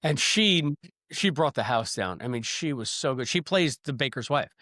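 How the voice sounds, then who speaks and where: A man's voice: mid-range voice, expressive, normal speed, bright, forceful tone, dry recording, studio quality. A man from New York City co-hosting a podcast, upbeat and joking in casual chat. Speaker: podcast co-host.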